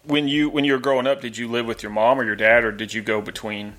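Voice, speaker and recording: A man's voice: mid-range voice, flat delivery, fast, fairly bright tone. A man hosting a podcast, interviewing a friend in a friendly, easygoing way. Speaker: podcast host. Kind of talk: casual interview chat.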